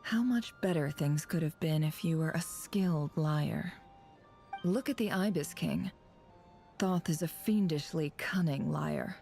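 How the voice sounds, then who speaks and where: Game spy: guarded, cynical, low mellow tone, measured wry delivery, faint music underneath. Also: female voice. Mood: wistful. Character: sly, guarded, cynical female intelligence operative. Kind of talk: dramatic video game voice acting.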